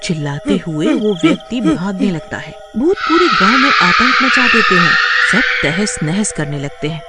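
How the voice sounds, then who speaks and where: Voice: medium pitch, big pitch swings, normal speed, loud music behind the voice. A narrator reading a scripted horror tale as a storyteller.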